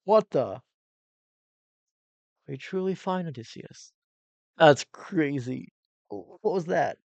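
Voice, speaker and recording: A man's voice: on the high side, expressive, slow pace, creaky, a little mellow, dry recording, dull audio. A man giving casual, exclamatory reaction commentary as a cinematographer and host, thinking aloud informally while explaining technique.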